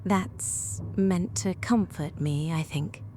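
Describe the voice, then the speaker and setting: A shipwreck survivor played by a woman in a wartime radio drama, with a fairly low voice. She holds her fear in composure, hushed and restrained, over faint noise. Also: slow. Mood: frightened, restrained. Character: frightened but composed female shipwreck survivor.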